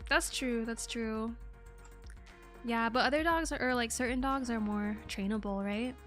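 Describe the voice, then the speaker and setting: A woman's voice: a little high, a little slow, fairly bright tone. A woman hosting a casual streamed chat, giggly and laughing often. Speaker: host. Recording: music playing behind.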